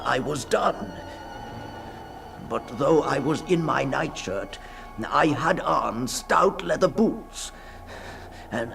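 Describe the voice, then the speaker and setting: A man's voice: mid-range voice, vocal fry. An actor playing a terrified man reliving a nightmare in dramatic first-person radio storytelling, anguished and stammering. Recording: 1960s radio drama with faint music.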